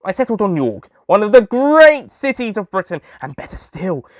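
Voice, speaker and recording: A man's voice: high-pitched, big pitch swings, moderate pace, no echo, voice pushed up. A man playing a young, sweet-obsessed alien Time Lord who tells his story in a chatty, self-amused way, full of asides. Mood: cheerful. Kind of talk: first-person audio story narration in character.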